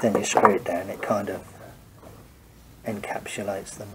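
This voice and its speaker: A man's voice: lowish voice, little pitch movement, moderate pace, very noisy. Man with a stuffy cold in garage. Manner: hesitant, thinking aloud while working. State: congested from a cold. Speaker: home car restorer. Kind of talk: casual workshop walkthrough. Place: home garage workshop.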